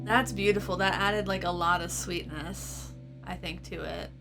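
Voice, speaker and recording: A woman's voice: fairly low pitch, fairly even intonation, a little slow, vocal fry. Casual woman demoing gear, thinking aloud. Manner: informal, thinking aloud in loose fragments. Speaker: gear reviewer and channel host. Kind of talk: casual, off-the-cuff product demo chat. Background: electric guitar playing through effects pedals.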